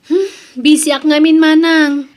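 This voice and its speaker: A woman's voice: sits high, little pitch movement, unhurried, darkish tone. A woman with an Ilocano accent acting an evasive, resentful overseas worker in an audio drama. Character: evasive, resentful married woman working abroad. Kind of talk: Ilocano radio-style family drama acting.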